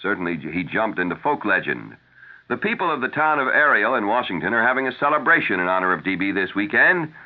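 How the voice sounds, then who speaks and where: A man reading scripted news and ad copy as a radio announcer, in a measured, formal style. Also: lowish voice, very animated. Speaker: radio newscaster. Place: radio studio. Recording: tinny, narrow-band 1970s radio broadcast.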